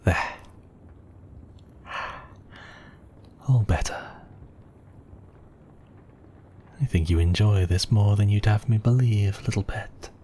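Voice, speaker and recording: A man's voice: lowish voice, moderate pace, mellow, slight background noise, close to an everyday voice. A man playing a seductive, obsessive vampire count in an intimate ASMR roleplay, murmuring and often slipping into whispers. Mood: tender, teasing. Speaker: audio roleplay voice actor.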